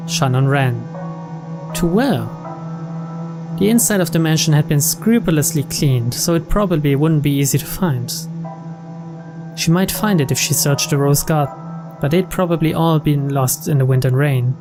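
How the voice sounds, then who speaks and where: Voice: medium pitch, moderate pace, over music. A narrator reading out the story text of a visual novel as scripted narration.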